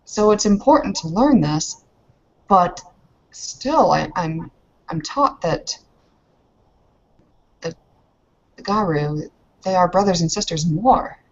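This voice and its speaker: A woman's voice: lowish voice, lively intonation, takes it slowly, pauses often, dull audio, heavily acted. A woman voicing an earnest young foreign woman in a roleplaying game, careful and proper, searching for her words. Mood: earnest, uneasy. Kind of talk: improvised in-character tabletop roleplay.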